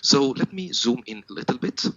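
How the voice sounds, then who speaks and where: A man's voice: medium pitch, lively intonation, moderate pace, pushed and bright, no echo, highs cut off. A man working as a technical trainer, giving a step-by-step product tutorial in a methodical, instructional manner.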